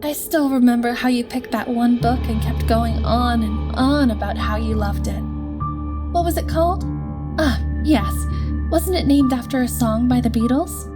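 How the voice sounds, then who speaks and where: A woman playing a gentle, coaxing girlfriend who is eerily not quite real, in an audio drama. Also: on the high side, moderate pace, lightly acted. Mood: fondly nostalgic. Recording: music under the voice. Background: background music.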